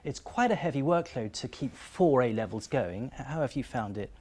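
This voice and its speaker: A man's voice: mid-range voice, animated, normal speed, dark tone, slight background noise. A man interviewing his students for a school video, friendly and conversational, with frequent small fillers.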